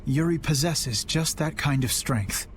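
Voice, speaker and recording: An actor playing an earnest young male knight in a video game, speaking calmly and sincerely. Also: on the high side, slight background noise, close to an everyday voice. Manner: calm, sincere, measured.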